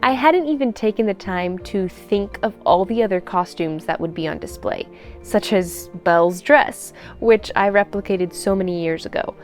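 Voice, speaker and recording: A woman's voice: mid-range voice, normal speed, a little mellow. A woman vlogger speaks as an enthusiastic hobby seamstress, in a mid-range voice. Her delivery is gushing and full of exclamation. Music plays behind her voice. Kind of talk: excited vlog narration.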